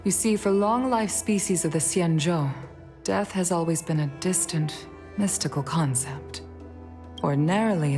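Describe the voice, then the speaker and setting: A woman playing a dignified, grieving middle-aged leader in a video game, speaking in a formal, measured and solemn way. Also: low-pitched, a little mellow. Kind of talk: scripted video game voice acting. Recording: game music under the voice. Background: game music.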